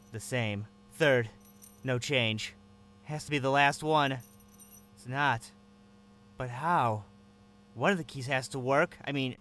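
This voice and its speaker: A man's voice: mid-range voice, a bit bright. A man narrating in first person as a captive, with a tense, wary inner voice.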